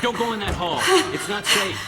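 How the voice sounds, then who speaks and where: An actor playing a world-weary, wary soldier who survived a war, talking in a colloquial, rambling way in a radio drama. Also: male voice, high-pitched, moderate pace, creaky, over loud music. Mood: alarmed, pleading.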